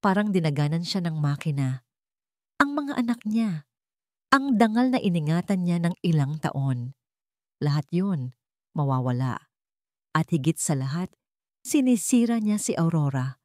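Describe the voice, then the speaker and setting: A woman narrates a romance drama with a dramatic, expressive delivery. Her voice is low-pitched and moves a great deal in pitch, and the recording is close and dry. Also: measured pace, pauses often, no echo.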